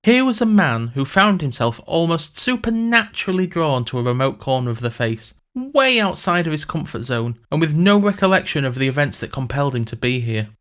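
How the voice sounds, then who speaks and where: A man's voice: a little high, big pitch swings, a little fast, few pauses, a bit bright. A man narrating a scripted comic fantasy podcast in a wry, sardonic, wordy manner.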